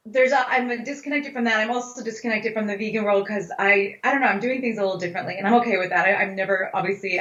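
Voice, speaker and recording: A woman's voice: mid-range voice, a bit bright. A middle-aged woman answering interview questions conversationally as a dietitian guest, stressing points emphatically with repeated phrases. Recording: roomy, slightly dull sound.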